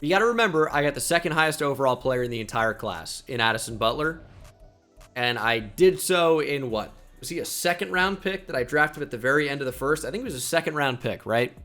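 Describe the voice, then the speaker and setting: A young man with a slight New Jersey accent gives chatty video game commentary. His tone is bright and forceful. He muses aloud and drops self-deprecating asides, with faint music playing behind him. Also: medium pitch, lively intonation, a little fast. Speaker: gaming YouTuber.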